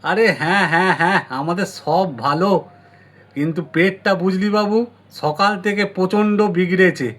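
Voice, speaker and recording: A man's voice: sits high, moderate pace, fairly bright tone. A man playing an ailing, tired village family man in a doll story, with a subdued, homely manner. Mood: complaining.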